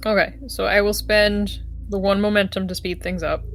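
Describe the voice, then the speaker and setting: A woman playing a tabletop game, talking casually in a measured, halting way. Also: mid-range voice, level tone. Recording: music playing behind the voice.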